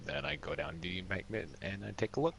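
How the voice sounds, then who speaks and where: A man with a deep, gravelly voice plays a tabletop game. He talks casually with lively, swooping intonation, on a recording with noticeable noise. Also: fast.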